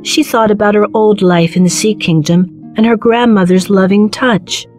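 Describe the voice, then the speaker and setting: A woman narrating a children's fairy tale in a measured, clearly phrased storytelling manner. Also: mid-range voice, fairly even intonation, darkish tone. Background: background music.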